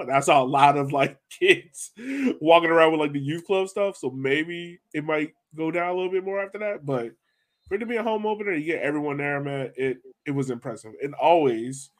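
A man's voice: on the high side, moderate pace. A man hosting a casual sports podcast, talking in an informal, colloquial, emphatic way. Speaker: podcast host.

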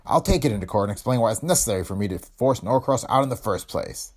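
A cold, threatening businessman in his fifties, as a male narrator puts him on, sharp and mocking. His voice climbs higher and louder than the narration and swings widely. A husky grain runs under it. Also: very animated, fairly quick, exaggerated voice.

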